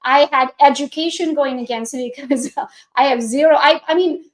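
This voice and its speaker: A woman in her forties who grew up in Romania, speaking English with a Hungarian accent, giving a lively, chatty live presentation over a video call and laughing often. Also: high voice, normal speed, poor audio. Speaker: guest speaker. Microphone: computer mic on video call.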